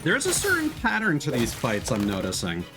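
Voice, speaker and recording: A man gives casual live commentary as he plays a game. His mid-pitched voice moves around in lively swings, chatty and polite, with music playing behind him. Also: brisk. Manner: chatty, polite, thinking aloud. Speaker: game streamer. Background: video game music.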